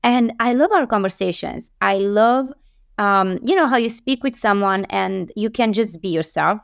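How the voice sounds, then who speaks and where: A woman's voice: mid-range voice, expressive, normal speed, creaky, no room sound, clean studio sound. A woman from Romania hosting a casual interview, bubbly and emphatic, laughing often and building lists of words. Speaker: podcast host.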